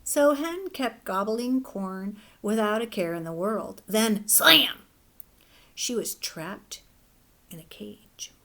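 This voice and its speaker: A woman teacher reading a children's fable aloud in a gentle, expressive storybook manner. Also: medium pitch, takes it slowly. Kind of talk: children's read-aloud narration.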